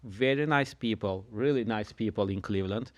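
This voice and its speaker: A middle-aged man from Turkey, a guest telling his story in a measured way with dry humor. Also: fairly low pitch, no room sound. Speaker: guest, insurance agent. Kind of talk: casual podcast conversation.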